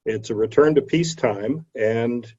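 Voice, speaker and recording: A man delivers an explanatory lecture in a mellow, relaxed voice at a middling pitch. He is slightly hesitant, and the video-call audio sounds a little dull. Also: normal speed, husky. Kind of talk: illustrated history lecture. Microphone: video call microphone.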